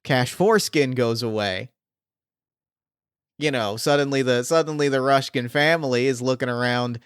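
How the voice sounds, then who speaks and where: A man playing a smooth-talking arms dealer. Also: mid-range voice, moderate pace, no room sound, studio quality, natural voice. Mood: scheming. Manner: smooth salesman patter, placating. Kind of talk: improvised tabletop roleplay.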